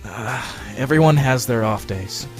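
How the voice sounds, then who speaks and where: Guy doing a jittery young lawyer, lively voice going up and down. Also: medium pitch, unhurried, faint music behind, lightly acted. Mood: anxious. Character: young male defense lawyer, anxious but earnest. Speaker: defense attorney. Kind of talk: fan audio drama acting.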